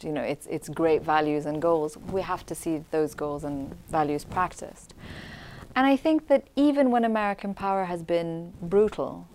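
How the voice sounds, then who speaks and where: A woman who grew up in Syria, answering as an interview guest in an articulate, measured, reflective way. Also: low voice, darkish tone. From Syria, grew up in Damascus. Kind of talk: conversational commentary on culture.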